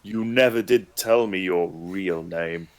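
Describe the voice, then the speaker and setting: A man's voice: sits low, slow. A man voicing a cold, menacing possessed fairy. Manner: cold, threatening. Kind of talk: amateur online table read.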